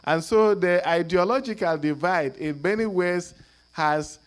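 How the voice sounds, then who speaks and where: Higher-voiced man giving a panel talk. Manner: measured, many fillers and restarts. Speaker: panel speaker. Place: conference room.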